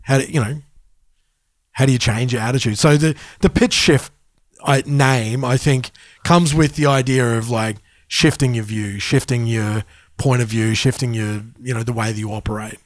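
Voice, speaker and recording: Mid-pitched man, casual and dry-sounding. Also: steady tone, moderate pace, no room sound. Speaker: podcast co-host. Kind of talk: casual conversational podcast talk.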